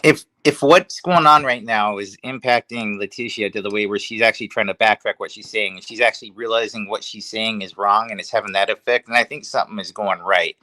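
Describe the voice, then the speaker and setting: A man's voice: lowish voice, a little fast, hardly any pauses, bright, forceful tone, no room sound. A man on a livestream panel giving his opinion in casual conversation. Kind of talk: casual discussion.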